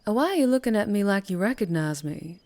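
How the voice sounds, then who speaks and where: A woman's voice: mid-range voice, lively intonation, a little fast, a little mellow, close to an everyday voice. A woman voicing a mysterious, teasing and menacing female vampire biker in an ASMR roleplay. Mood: nervous, evasive.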